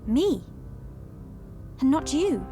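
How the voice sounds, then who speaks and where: High-voiced, earnest young princess. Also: female voice, very animated, very slow, music in the background, exaggerated voice. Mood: taken aback. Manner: formal, earnest diction. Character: young winged crown princess, earnest, formal and self-doubting. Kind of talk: scripted game cutscene acting.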